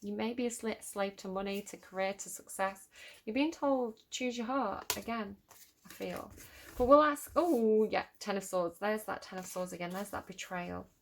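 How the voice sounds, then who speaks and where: A woman's voice: medium pitch, normal speed, a little hiss or hum. A woman with a Manchester accent giving a casual tarot reading to camera, musing and repeating phrases as she reads card meanings from a book. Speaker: tarot reader.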